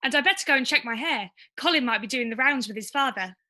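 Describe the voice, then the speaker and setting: A woman as a high, sweet pantomime daughter. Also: expressive, fast talker, sharp tone. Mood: fond, eager. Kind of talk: radio pantomime acting.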